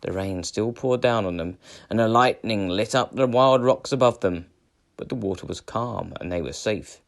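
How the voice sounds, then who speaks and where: A man reading a children's adventure tale aloud as a storyteller, with dramatic, measured phrasing. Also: on the low side, gravelly. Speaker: storyteller, teacher.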